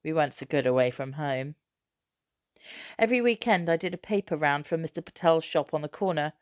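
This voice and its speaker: A woman narrates a children's novel in a fairly low voice. She reads scripted prose aloud with expression, as a storybook reader. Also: brisk, no echo.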